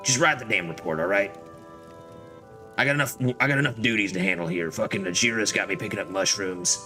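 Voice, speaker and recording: A man's voice: lowish voice, brisk, bright, forceful tone, faint music behind. A man giving casual, sweary, irreverent commentary while streaming a video game. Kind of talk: casual live gaming commentary.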